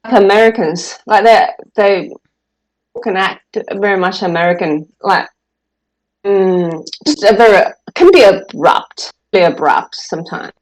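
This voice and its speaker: A woman's voice: mid-range voice, lively intonation, measured pace, low-quality recording. A woman with an Australian accent, a trader being coached, speaking hesitantly over a video call. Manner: hesitant, laughs often. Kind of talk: casual conversation.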